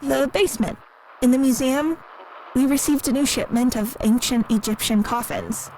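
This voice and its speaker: Timid high-voiced museum worker, noisy recording. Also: female voice, moderate pace. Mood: hushed, recounting. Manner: hesitant, soft-spoken, earnest. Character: timid, lonely, naive female museum worker defending a monster. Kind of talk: audio drama acting, recorded interview. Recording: clearly audible background noise.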